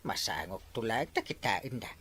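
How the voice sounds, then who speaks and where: A woman with an Ilocano accent playing an animated, hesitant village captain in a broad comedy drama, on a noisy recording. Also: a bass voice, normal speed, exaggerated voice. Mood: indignant. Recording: clearly noisy recording with clicks.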